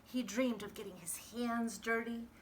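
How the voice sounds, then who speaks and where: A woman reading a picture book aloud like a teacher to young children, expressive and dramatic, with hushed sound words. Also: on the high side, moderate pace, slight background noise, low-quality recording.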